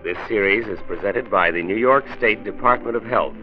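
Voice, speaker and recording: A man playing an earnest county health commissioner who narrates a 1940s radio drama in a measured, folksy manner. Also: lowish voice, faint music behind, lightly acted. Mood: earnest narration. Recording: tinny old radio transcription.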